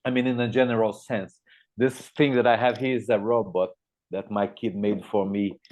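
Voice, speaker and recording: A man's voice: lowish voice, fairly even intonation, normal speed, a little mellow. A middle-aged Brazilian man with a Portuguese-speaker's accent, giving an informal conference talk and hesitantly repeating words as he thinks. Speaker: guest speaker, media educator. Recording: thin, phone-like online call audio.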